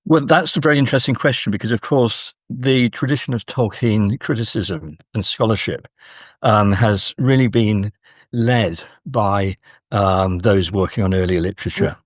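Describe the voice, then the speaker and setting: A man speaking as an academic interview guest, explaining in measured, halting phrases with frequent pauses. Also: on the low side, no echo, studio quality.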